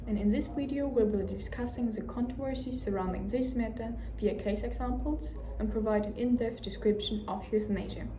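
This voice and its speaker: A young woman from Germany, a law student, clearly reading out definitions in a prepared presentation. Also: on the high side, a little fast, hardly any pauses, crowd noise. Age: young adult. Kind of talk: read-aloud presentation. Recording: distant mic with faint noise.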